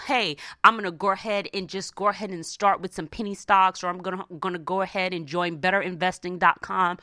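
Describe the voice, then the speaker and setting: A woman's voice: mid-range voice, pushed and bright, dry recording, clean studio sound. A woman hosting a radio show, giving motivational money advice in an emphatic, conversational way, repeating phrases for emphasis. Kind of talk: motivational money talk, addressing listeners.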